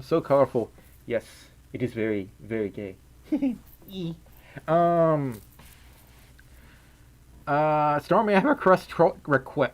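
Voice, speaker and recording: A young man with a scratchy voice, chatting playfully on a livestream, giggling and humming while he reads chat messages. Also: on the high side. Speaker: streamer. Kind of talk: casual livestream chat reading donations.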